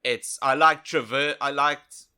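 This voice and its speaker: A man co-hosting a casual video game review podcast, giving blunt opinions in short, halting phrases. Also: a little high, fairly even intonation, bright, forceful tone, smooth. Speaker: podcast co-host.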